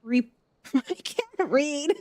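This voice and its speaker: A woman's voice: high voice, expressive, extremely slow, darkish tone, no echo. A woman giving casual live game commentary, talking to herself as she thinks aloud. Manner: talks to self, thinking aloud. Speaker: game streamer. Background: music.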